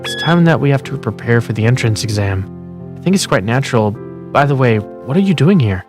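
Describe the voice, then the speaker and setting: A man voicing a calm, modest schoolboy. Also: medium pitch, fairly quick, over music, lightly acted. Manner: calm, earnest, self-deprecating. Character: modest, studious teenage schoolboy. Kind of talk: fan manga dub with narration.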